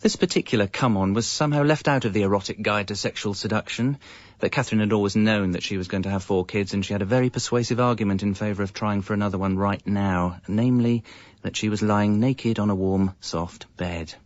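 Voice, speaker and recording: Man acting husband narrator, low pitch, deadpan irony, confessional, scripted radio comedy, dull dry recording. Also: fairly quick, no room sound, close to an everyday voice. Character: wry husband and young father narrating his evasive life. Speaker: narrator and lead character. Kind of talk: scripted comic radio monologue. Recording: muffled, band-limited audio.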